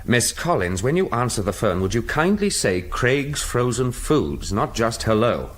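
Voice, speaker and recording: An actor with an English accent playing a busy, self-assured company owner in a radio drama, brisk, clipped and polite. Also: male voice, mid-range voice, big pitch swings. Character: busy, self-assured businessman who owns a frozen food company. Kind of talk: British radio drama acting. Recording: radio drama with clear background noise.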